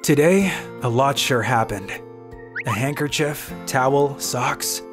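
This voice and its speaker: Man acting as overworked young office worker, flustered, self-deprecating, medium pitch, manga dub, background music. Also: slow, natural voice. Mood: reflective. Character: flustered, self-deprecating overworked young salaryman narrating his own story. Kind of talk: manga story narration and dialogue acting. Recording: music under the voice.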